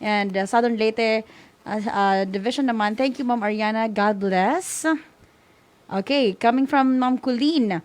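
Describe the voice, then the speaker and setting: A woman's voice: fairly high pitch, measured pace. A woman with a Filipino accent co-hosting an online school broadcast in a conversational way. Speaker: co-host.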